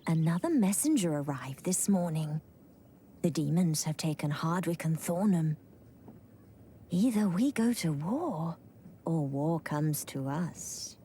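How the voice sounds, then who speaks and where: A loyal lady-in-waiting, hesitant and worried, slow, darkish tone. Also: low voice, animated, warm tone, a little hiss or hum. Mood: grave. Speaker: game character. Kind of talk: game cutscene voice acting.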